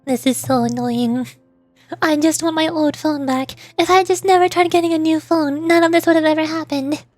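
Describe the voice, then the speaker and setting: A woman with a high voice chats casually on a livestream. She rambles and restarts her thoughts, her voice a little worn. The sound is very dry, with faint music behind. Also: fairly even intonation, moderate pace, no echo. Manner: rambling, self-interrupting. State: voice starting to get worn out. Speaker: VTuber livestreamer.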